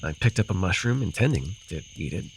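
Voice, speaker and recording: A man's voice: sits low, animated, fairly quick. A man acting a rambling, amused forest fae in a fantasy ASMR roleplay, telling his tale with hesitant, apologetic asides. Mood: reminiscing. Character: rambling, amused male forest-dwelling fae storyteller with bird companion. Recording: noisy with cricket and insect ambience. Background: night forest ambience with crickets and insects.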